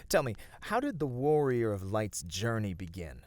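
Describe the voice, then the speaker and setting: A man voicing a gentle, noble fantasy adventurer in an ASMR roleplay, speaking soothingly and earnestly in formal, old-fashioned phrasing. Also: on the low side, big pitch swings, moderate pace. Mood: admiring, earnest. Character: gentle, noble, reassuring male fantasy adventurer comforting a weary friend. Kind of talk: ASMR fantasy roleplay, scripted intimate voice acting.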